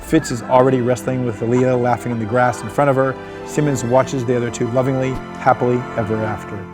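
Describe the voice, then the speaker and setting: A man's voice: mid-range voice, steady tone, brisk. A man reading out screenplay stage directions as scripted narration at a table read. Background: music.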